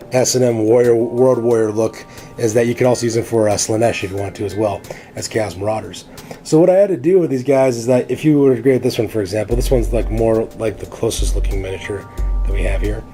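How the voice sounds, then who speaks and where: A man hosting a miniatures hobby show, with a raspy voice from a cold, addressing viewers in a showman style and chatting casually with many fillers. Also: mid-range voice, quick pace, warm tone, faint music behind. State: hoarse from a cold. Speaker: host of a miniatures hobby channel. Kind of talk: casual hobby showcase presenting.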